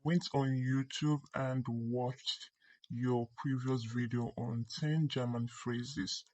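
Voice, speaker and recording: A man's voice: mid-range voice, fairly even intonation, slow, a little mellow, rough recording. A man speaking as a student, replying to a teacher in a class.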